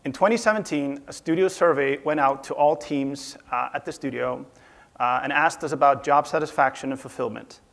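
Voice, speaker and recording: A man from Iceland giving a measured, slightly formal conference talk about leading a design team. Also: medium pitch, creaky, fairly bright tone. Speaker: conference speaker, studio UI/UX director. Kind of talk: presentation talk. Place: conference stage.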